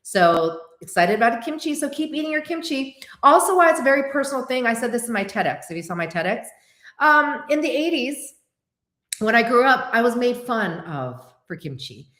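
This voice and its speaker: A woman's voice: a little high, moderate pace. Chatty Korean-American woman in an echoey room. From United States. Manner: chatty, animated, answers viewers. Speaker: host. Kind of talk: casual livestream chat.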